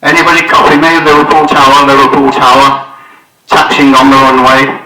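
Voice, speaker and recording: A man playfully playing a pilot, loudly repeating radio calls to the tower into a headset mic. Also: mid-range voice, monotone, brisk, roomy sound, heavy background noise, lightly acted. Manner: loud, repeated radio call-outs. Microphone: headset microphone.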